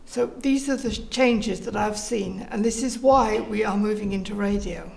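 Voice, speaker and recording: A woman's voice: medium pitch, normal speed, gravelly, a little mellow. A woman answering interview questions and telling stories as the leader of a theatre group.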